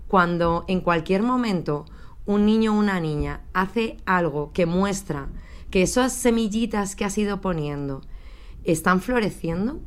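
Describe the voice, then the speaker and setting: Smooth-voiced heartfelt woman teacher. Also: lowish voice. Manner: heartfelt, measured. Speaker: primary school teacher. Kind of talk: recorded testimony.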